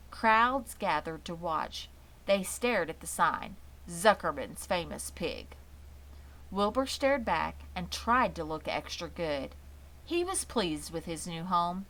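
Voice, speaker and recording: A woman's voice: lowish voice, bright, forceful tone, poor audio. A woman reading a children's story aloud as scripted narration. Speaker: audiobook reader.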